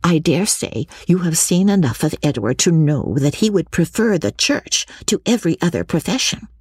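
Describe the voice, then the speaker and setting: A woman's voice: lowish voice, big pitch swings, normal speed, darkish tone, husky, no echo, voice pushed up. A woman voicing a sly, jealous young woman in a classic novel, effusive and mannered, confiding as she schemes. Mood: confiding, scheming. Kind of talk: classic novel character dialogue.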